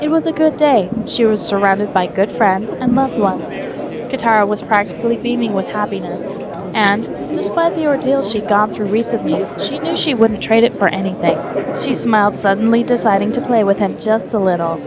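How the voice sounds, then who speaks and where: A woman's voice: medium pitch, moderate pace. Woman narrating over crowd sounds. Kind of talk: scripted story narration.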